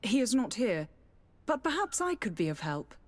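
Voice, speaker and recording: A woman's voice: a little high, big pitch swings, moderate pace, no room sound. A woman playing a haughty, guarded noble lady in a period fantasy video game, formal and easily indignant. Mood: polite, formal.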